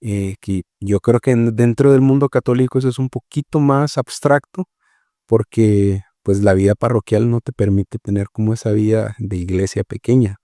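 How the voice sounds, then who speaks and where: A man's voice: fairly low pitch, warm tone, no echo, studio quality. A man from Guatemala, a missionary guest explaining his work in a reflective, conversational way, often checking in with "¿verdad?". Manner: reflective, explains slowly with many fillers and "¿verdad?". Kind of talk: conversational interview.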